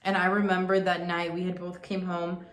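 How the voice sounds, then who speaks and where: A young woman talking casually and earnestly to her viewers, sometimes in quick bursts. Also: on the low side, monotone, reverberant. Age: young adult. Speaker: vlogger. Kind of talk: casual chat to camera.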